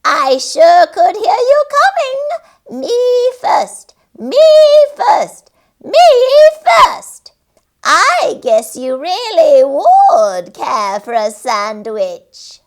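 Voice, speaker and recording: Tiny bossy storybook creature, female, shrill high pitch, cackling, slow drawn-out wheedling, wildly swooping. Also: wildly swooping pitch, exaggerated voice. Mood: gleeful, cackling. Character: small cackling bossy sandwich creature, female, sly and demanding.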